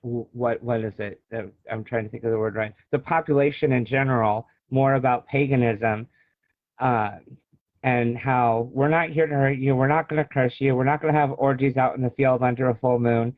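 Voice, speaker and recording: A man from the United States giving a casual interview as an author, often halting and searching for words, over thin phone-like call audio. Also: medium pitch, steady tone, dry recording.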